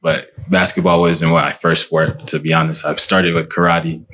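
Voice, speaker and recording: Low, even-toned man, 20s, calm interview guest. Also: moderate pace, background noise. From Minnesota, United States. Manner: calm, matter-of-fact storytelling. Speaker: guest, pro basketball player. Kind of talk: casual interview answers.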